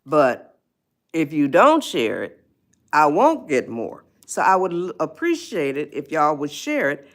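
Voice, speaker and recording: A woman of eighty-one, chatting slowly to viewers on her live stream, often losing her place and laughing. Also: low-pitched, sing-song. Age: 80s.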